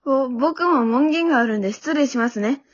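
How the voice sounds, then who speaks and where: A shy, frail puppy boy voiced by a woman in a comedy radio drama. He stammers politely and apologetically in a high voice. The recording sounds slightly muffled. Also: fairly quick. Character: timid, polite, frail little boy dog. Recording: dull lo-fi audio.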